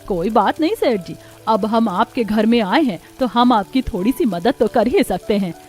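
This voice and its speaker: A woman's voice: fairly high pitch, lively intonation, moderate pace, a little mellow, faint music behind, close to an everyday voice. A woman voicing a friendly, helpful, sociable village woman in a cartoon story.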